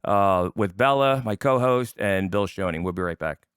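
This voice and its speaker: A man's voice: fairly low pitch, moderate pace. A man hosting a sports talk show in casual interview chat, chatty and often stumbling over and repeating his words.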